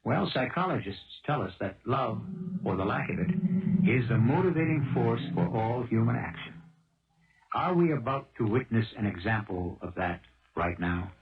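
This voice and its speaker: An American man acting as a grave, musing host, narrating a 1970s radio mystery with deliberate, rhetorical delivery. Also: mid-range voice, lively intonation, a little hiss or hum, close to an everyday voice. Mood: musing, portentous. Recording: tinny old radio broadcast with music.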